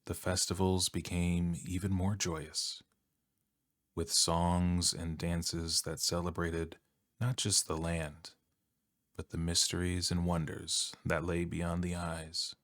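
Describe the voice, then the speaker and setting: Male ASMR bedtime narrator: very low, soft-spoken, calm and measured, with a flat, even delivery. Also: dry recording, studio quality.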